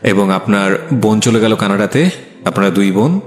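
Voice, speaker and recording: A man with a Bangladeshi accent hosting a life-story interview, speaking to listeners in a measured, deliberate way and mixing in English phrases. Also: on the low side. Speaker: radio show host. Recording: echoey room.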